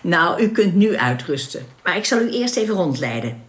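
A woman's voice: lowish voice, big pitch swings, moderate pace, echoey room, noisy background. An actress playing a polite alien woman who explains things courteously and matter-of-factly in a radio drama. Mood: courteous, informative. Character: polite alien woman guide showing guests their quarters.

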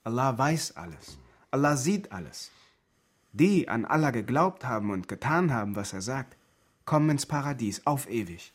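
A man's voice: medium pitch, very animated, normal speed. An actor playing a devout, earnest refugee man from Egypt in a radio drama, speaking German as an in solemn, simple sentences. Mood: preaching, certain.

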